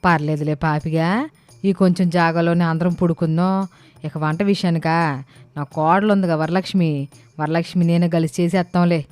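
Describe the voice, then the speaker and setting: Woman acting a bossy, low-voiced village aunt. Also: steady tone, moderate pace, darkish tone, clear, resonant tone, no echo, natural voice. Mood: familiar, breezy. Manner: colloquial, scolding, preachy. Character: bossy, sensible older village aunt, female. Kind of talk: animated moral-story dialogue.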